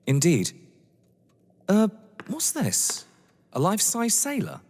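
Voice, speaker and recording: Casting: male actor as guarded young visitor, courteous, few words, unhurried, breathy-rough tone, reverberant stage thriller. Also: high-pitched, wildly swooping pitch, exaggerated voice. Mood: curious, guarded. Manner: hesitant, terse, polite. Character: Milo Tindle, reserved polite young male guest. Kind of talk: stage thriller audio drama acting. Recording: long reverberant hall echo.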